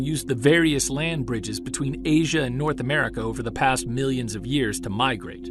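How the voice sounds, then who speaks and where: An actor playing a driven, defensive Bigfoot researcher, delivering a deliberate, emphatic scripted monologue in the manner of a documentary. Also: male voice, medium pitch, creaky. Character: driven, defensive male Bigfoot researcher recording his case. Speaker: narrator of a found-tape audio drama.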